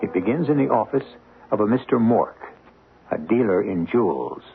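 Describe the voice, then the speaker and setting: A man's voice: fairly low pitch, animated, normal speed, creaky. A man narrating as host of an old-time radio mystery, in a dramatic scripted style. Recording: tinny, narrow-band radio broadcast.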